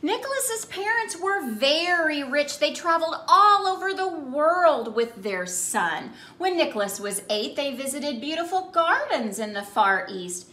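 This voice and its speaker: A woman in her thirties doing an expressive children's picture-book read-aloud, addressing young listeners in an exaggerated way. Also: high voice, measured pace, few pauses. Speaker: children's ministry storyteller and host. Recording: roomy indoor echo.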